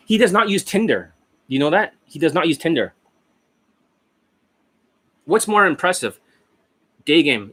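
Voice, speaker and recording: Hoarse male dating coach with a slightly raised pitch, boastful and rambling, coughing through a desk-mic monologue. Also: fairly bright tone. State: hoarse, losing his voice. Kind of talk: casual monologue over a screen share. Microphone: desk microphone.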